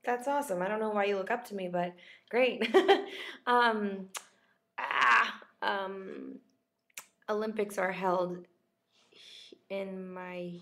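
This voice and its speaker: A young woman talks casually into a laptop webcam, answering questions as she reads them. Her voice sits at an average pitch with plenty of fry, and it sounds like she is losing it. She is informal and self-deprecating. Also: takes it slowly, pauses often, fairly bright tone. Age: young adult. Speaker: actress chatting with fans on a livestream. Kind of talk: casual chat, reading viewers' questions aloud. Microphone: laptop webcam at close range.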